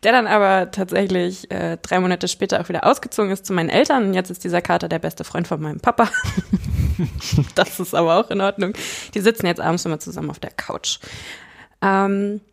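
Brief: young woman, mid-pitch voice with vocal fry, easygoing podcast chat. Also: fairly quick. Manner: chatty, laughs easily. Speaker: podcast co-host. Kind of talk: casual chat.

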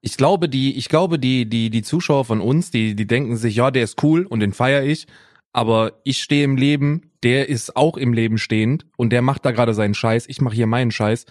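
Man, sardonic podcast co-host, slangy chatter, mid pitch, dry close sound. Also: moderate pace, dry recording. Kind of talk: casual chat.

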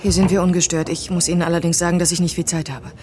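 A woman's voice: lowish voice, flat delivery, very fast, dark tone, a little hiss or hum, lightly acted. An actress playing a guarded, coolly courteous female restaurant owner in an audio drama, formal and businesslike. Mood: brisk, guarded. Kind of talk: naturalistic audio drama acting.

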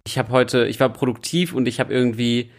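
A man's voice: medium pitch, level tone, a little fast. A young man chats casually as a podcast co-host, with a playful, self-mocking manner. His voice sits in an ordinary middle register for a man. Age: young adult. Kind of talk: casual chat.

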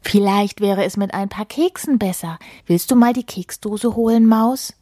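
A woman's voice: mid-range voice, moderate pace, mellow, no room sound, clean studio sound, voice pushed up, lightly acted. A woman voicing a male mole from a storybook, fretful, bossy and sly, with a fussy, insistent way of cautioning. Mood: demanding, self-satisfied.

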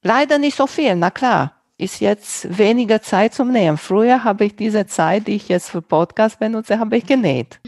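A woman's voice: mid-range voice, animated, normal speed, hardly any pauses, darkish tone, no room sound. A woman with a Romanian accent who speaks German as a second language, chatting casually in an interview about her podcast, in loose sentences that search for words. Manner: loose, searching sentences with non-native grammar. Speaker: podcast host, guest on another podcast.

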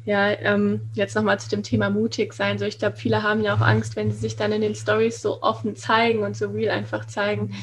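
A woman's voice: medium pitch, slight background noise. A woman hosting a casual podcast interview, agreeable and encouraging, affirming her guest often. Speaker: podcast host.

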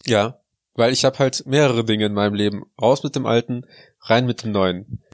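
A man's voice: mid-range voice, moderate pace, no echo. A man co-hosting a podcast, from Russia but raised in Germany, chatting in a casual, joking way. His voice sits in a middle register. The sound is dry and close, with no room around it. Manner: joking, puts on a fake Russian accent in German. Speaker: podcast co-host. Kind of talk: casual chat.

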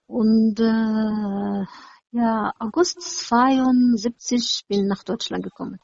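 A woman's voice: fairly high pitch, steady tone, takes it slowly, gravelly, a little mellow, no echo, highs cut off. A woman in her seventies from Syria with a Syrian Arabic accent, talking hesitantly with many fillers as an interview guest. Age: 70s. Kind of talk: casual interview chat.

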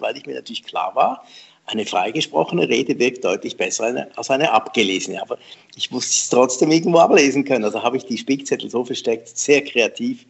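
Husky man telling lively stories. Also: mid-range voice. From Switzerland. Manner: lively anecdotal, self-deprecating, laughs often. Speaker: memory trainer, guest. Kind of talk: conversational storytelling interview.

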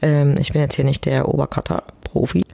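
A woman's voice: extremely low pitch, level tone, brisk, gravelly, warm tone, no room sound. A woman casually hosting a podcast alone in speech, chatty, informal and self-deprecating, speaking close to the microphone at home. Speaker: podcast host. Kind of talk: casual solo podcast talk. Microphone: close personal microphone.

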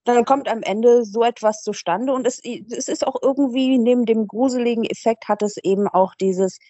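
A woman's voice: on the high side, moderate pace, warm tone, no echo. A woman in her thirties, an author and teacher, speaking thoughtfully and articulately as a podcast guest, with occasional hesitations. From Germany. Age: 30s. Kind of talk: interview conversation.

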